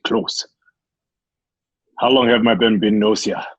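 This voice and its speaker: Man as a battle-hardened gladiator general, low husky voice, resolute, radio drama, close dry sound. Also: fairly even intonation, measured pace, no echo, muffled recording. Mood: guarded, purposeful. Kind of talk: online table-read radio drama acting.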